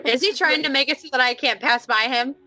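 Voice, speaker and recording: A woman player narrates and chats casually during a tabletop game. Her voice is bright and highish, moving freely in pitch, with music behind her. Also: fast, low-quality recording. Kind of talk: casual chat and improvised narration.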